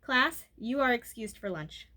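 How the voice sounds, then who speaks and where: A woman's voice: on the high side, lively intonation, measured pace, sharp tone. A woman voicing a kindly, reasonable female schoolteacher in a storybook reading. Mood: kindly, brisk.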